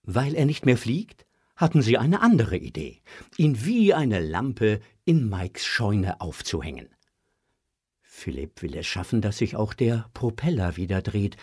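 A man's voice: lowish voice, big pitch swings, measured pace, a little mellow, husky, no room sound, studio quality, exaggerated voice. A man narrating a scripted audio drama for children.

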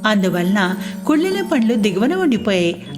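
Lively woman narrating a children's story. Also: medium pitch, normal speed, over music.

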